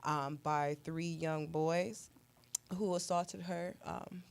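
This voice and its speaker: A woman's voice: low voice, steady tone, slow pace, creaky, clear, resonant tone. A woman speaking earnestly and emphatically in a serious, heartfelt talk show conversation. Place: indoor radio talk show studio.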